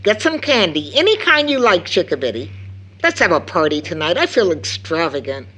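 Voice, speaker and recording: A woman's voice: lowish voice, sing-song, normal speed, a bit bright. A woman acting a tired, loving, anxious mother of two in an audio drama, with tender motherly endearments. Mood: fond, gently busy.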